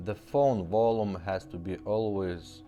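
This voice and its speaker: A man's voice: lowish voice, measured pace, dark tone, highs cut off, soft background music. A man casually demonstrating a car stereo, talking in a plain, matter-of-fact way and often checking in with "okay?". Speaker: car radio repair host.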